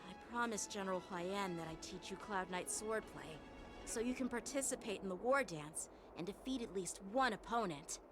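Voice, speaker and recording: A woman's voice: medium pitch, lively intonation, normal speed, music in the background, close to an everyday voice. A woman voicing an earnest, easily flustered teenage boy swordmaster in a video game. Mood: stern, earnest.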